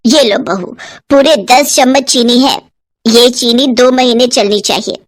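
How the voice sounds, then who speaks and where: A woman's voice: fairly high pitch, a little slow, lightly acted. A woman voicing a stingy, scolding middle-aged mother-in-law in a cartoon, with a bossy, penny-pinching way of talking. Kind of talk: cartoon voice acting.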